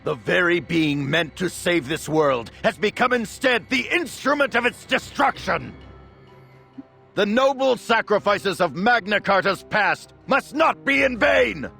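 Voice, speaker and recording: A man playing a grandiose, scheming nobleman in a fantasy game, giving a measured, theatrical speech. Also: sits high, lively intonation, creaky, pushed and bright, soft background music, exaggerated voice. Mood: impassioned. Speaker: villain orator in a fantasy game.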